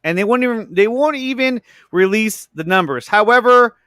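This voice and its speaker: A man's voice: high-pitched, animated, normal speed, bright, forceful tone, no room sound, clean studio sound. A man in a casual streamed panel discussion, repeating his phrases for emphasis.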